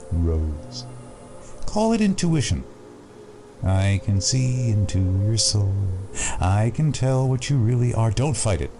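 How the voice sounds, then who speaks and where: A man's voice: lowish voice, very animated, music in the background, full character voice. An actor playing a sly, deranged male asylum patient in an audio drama, theatrical, taunting and menacingly playful. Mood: ominous. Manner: theatrical, taunting, slow, giggling.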